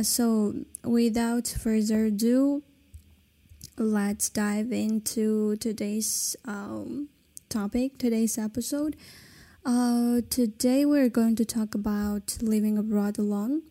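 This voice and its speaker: A woman's voice: a little high, dark tone. A woman in her late twenties from China, a native Kazakh speaker, hosting a casual solo podcast in English and talking hesitantly with many fillers and restarts. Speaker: podcast host.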